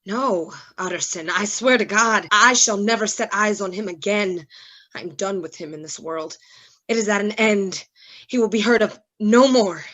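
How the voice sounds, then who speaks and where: A woman playing a tormented, remorseful gentleman doctor with formal, anguished delivery in a gothic radio drama. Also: mid-range voice, normal speed, close to an everyday voice, poor audio. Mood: anguished, vowing. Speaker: actor in a radio play.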